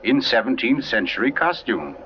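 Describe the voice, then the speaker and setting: An actor playing an urbane crime writer who narrates a 1940s radio drama in a suave, theatrical way with dramatic pauses. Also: male voice, mid-range voice, very animated, slight background noise, close to an everyday voice. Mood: suspenseful. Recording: dull vintage radio recording, music behind.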